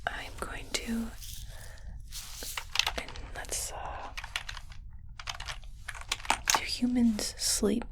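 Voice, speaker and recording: A woman playing a calm, clinical but kindly alien medic in a whispered ASMR roleplay, hesitant and softly reassuring. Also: a little high, very slow, full character voice. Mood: gentle, clinical. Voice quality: breathy unvoiced whisper.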